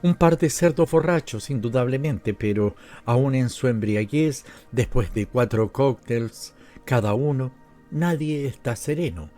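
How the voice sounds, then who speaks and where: A man's voice: mid-range voice, lively intonation, unhurried, warm tone. A man with a Latin American Spanish accent reads a literary story as an audiobook narrator. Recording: music behind the voice. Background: background music.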